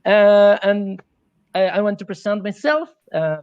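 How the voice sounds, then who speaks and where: Male moderator, Colombian Spanish accent, higher register, halting delivery, dry recording. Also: darkish tone, clean tone, no room sound, highs cut off. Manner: halting second-language English. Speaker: panel moderator, science fiction editor. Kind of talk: hosting an online panel discussion.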